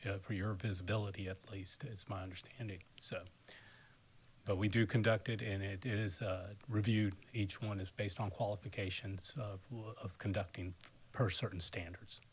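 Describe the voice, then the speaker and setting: Casting note: man in his fifties, airport manager giving formal remarks at a council meeting, politely, a bit low-voiced. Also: flat delivery, moderate pace, a little hiss or hum. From South Carolina, US. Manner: polite, formal, with frequent restarts.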